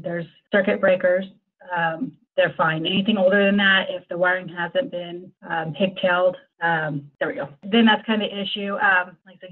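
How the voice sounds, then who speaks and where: An American woman giving conversational expert explanations as an insurance agent, in a chatty, agreeable, informal way. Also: on the low side. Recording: thin, phone-like call audio.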